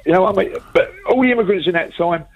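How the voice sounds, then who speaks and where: A man's voice: high-pitched, fast, low-quality recording. A man in his fifties with a North West London accent, calling a radio phone-in and talking bluntly and emphatically. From England. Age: 50s. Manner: blunt, emphatic working-class talk. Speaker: caller.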